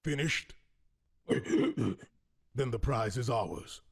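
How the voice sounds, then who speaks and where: A man playing a cold, commanding supervillain leader in a superhero audio drama, speaking formally and with menace in a gravelly voice. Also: mid-range voice, animated, very slow, exaggerated voice. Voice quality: gravelly, raspy.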